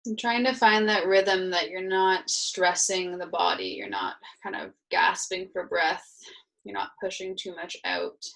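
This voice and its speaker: Woman guiding meditation, creaky voice. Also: lowish voice, lively intonation, a little slow, a bit bright, poor audio. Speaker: meditation and yoga instructor. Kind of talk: guided meditation, gentle spoken instruction.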